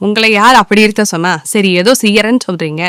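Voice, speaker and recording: A woman voicing an exasperated, nagging, sarcastic wife in an animated moral story. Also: mid-range voice, fast, smooth, no echo, natural voice. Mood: scornful. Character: exasperated, nagging wife scorning her husband's plans.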